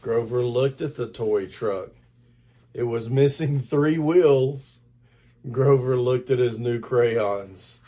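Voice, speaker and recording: An older man reading a children's story aloud in a deliberate, expressive storytelling manner. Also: mid-range voice. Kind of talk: scripted story narration read aloud to children.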